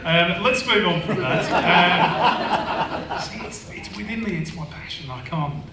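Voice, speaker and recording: A man's voice: high voice, lively intonation, takes it slowly, over a noisy crowd. A man from Manchester moderating a live panel in front of an audience, with jokey, teasing banter. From Manchester, England. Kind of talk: hosting a live panel discussion. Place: function room with an audience. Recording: echoey hall, distant mic.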